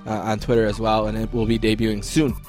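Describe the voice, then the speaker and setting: A man's voice: fairly low pitch, flat delivery, quick pace, darkish tone, soft background music. A man hosting a podcast, reading out plugs and chatting casually. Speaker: podcast host. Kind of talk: podcast sign-off and casual chat.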